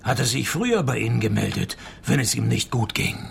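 An actor playing a cheeky middle-aged male private detective in a radio drama, with a dry, deadpan, flippant delivery and a gravelly creak. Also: mid-range voice, brisk, a little hiss or hum. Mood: dry, probing. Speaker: lead detective and narrator.

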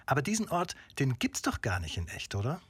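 A man's voice: mid-range voice, huge pitch swings, brisk, husky, heavily acted. A man playing a radio host who presents a show and interviews guests in a radio drama. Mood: welcoming. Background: loud music.

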